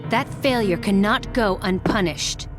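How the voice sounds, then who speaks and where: A woman's voice: mid-range voice, measured pace, close to an everyday voice. Game villain role: cold henchwoman assassin woman acting, menacing and controlled, prominent music underneath. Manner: cool, menacing. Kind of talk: video game cutscene acting.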